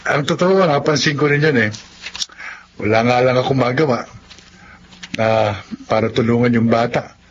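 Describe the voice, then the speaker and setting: A man's voice: mid-range voice, normal speed, pauses often, close to an everyday voice. Guy playing shy nice young filipino man, radio drama, muffled audio w faint noise. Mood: kind, admiring. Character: considerate, slightly shy young male family friend. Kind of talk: Tagalog radio drama acting.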